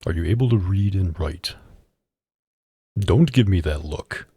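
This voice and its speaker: A man playing a domineering, sardonic mafia boss in an intimate ASMR roleplay, deliberate and commanding, deep and husky, close on a studio microphone. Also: expressive, warm tone, no echo, full character voice. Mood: cool, appraising.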